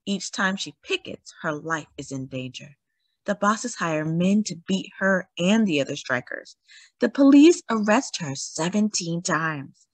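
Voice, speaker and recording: A woman reading a picture book aloud in a dramatic, emphatic storytelling manner with deliberate pauses. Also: fairly low pitch, no room sound. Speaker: children's read-aloud story host. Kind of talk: expressive picture book narration.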